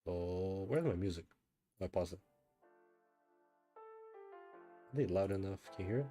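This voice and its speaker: A man chatting casually as he livestreams a game, thinking out loud and often asking himself questions. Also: sits low, measured pace, faint music behind. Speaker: livestreamer, gamer. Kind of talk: casual livestream chat while playing a game.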